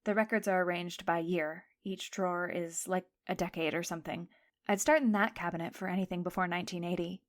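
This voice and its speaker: A woman's voice: on the low side, steady tone, a little fast, close to an everyday voice. Tentative schoolgirl sleuth played by a woman. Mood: helpful, matter-of-fact. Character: hesitant, bookish, helpful schoolgirl sleuth Florence. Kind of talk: audio drama dialogue.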